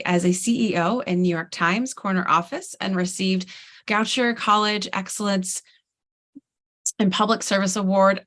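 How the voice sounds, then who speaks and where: A woman hosting an interview, her voice slightly raspy and strained as if starting to go. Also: lowish voice, a bit bright. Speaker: podcast host. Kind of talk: warm-up introduction and interview chat.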